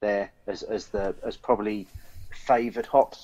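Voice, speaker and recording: A man co-hosting a podcast in casual review chat, haltingly repeating words as he thinks aloud. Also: lowish voice, fairly even intonation, mellow. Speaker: podcast co-host. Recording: dull, slightly lo-fi voice recording.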